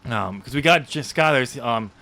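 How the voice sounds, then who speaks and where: A man with a medium-pitched voice co-hosts a casual podcast. He talks through a story informally and haltingly, often searching for the right words. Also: expressive, creaky, pushed and bright. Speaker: podcast co-host. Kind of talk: casual chat discussing a story.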